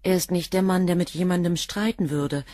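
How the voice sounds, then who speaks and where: An actress playing a cool, devoted assistant to a professor in a radio drama, answering questions in a guarded, curt way. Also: female voice, on the low side, fairly quick, dark tone, dry recording, studio quality, close to an everyday voice. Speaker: witness under questioning.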